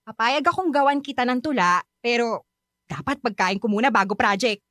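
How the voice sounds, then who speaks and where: A woman's voice: on the high side, lively intonation, normal speed, fairly bright tone, no room sound, clean studio sound. A woman playing a sassy, grumpy young Filipina in radio drama dialogue, bantering sarcastically with a Filipino accent. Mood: sassy, teasing. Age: young adult.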